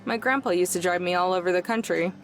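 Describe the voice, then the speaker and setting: A woman's voice: on the low side, fast, soft background music, natural voice. A woman playing a reserved, wry, modest young road-tripper in a visual novel, with a dry delivery.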